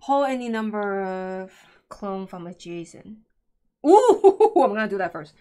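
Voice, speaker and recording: A middle-aged woman plays and co-hosts a board game session indoors. She talks casually and works through her moves out loud, in a medium-pitched voice. The delivery is conversational and unscripted. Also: normal speed, smooth. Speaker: board game show co-host and player. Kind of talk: casual live board game playthrough chat. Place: indoor room at a game table.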